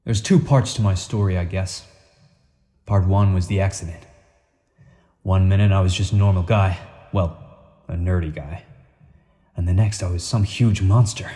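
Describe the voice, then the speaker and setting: A man's voice: sits low, fairly even intonation, moderate pace, pauses often, warm tone, lightly acted. A man playing an earnest, humble, plain-spoken superhero in a radio play. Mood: humble, rousing. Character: earnest, folksy male superhero, part shark, humble and noble. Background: music.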